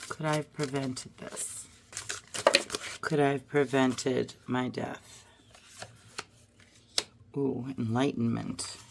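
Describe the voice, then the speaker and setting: Hoarse, deep-voiced woman pulling tarot cards. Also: slight background noise. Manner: musing aloud, drifting to soft murmurs. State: hoarse from a cold. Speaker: tarot reader. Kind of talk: casual card-reading vlog.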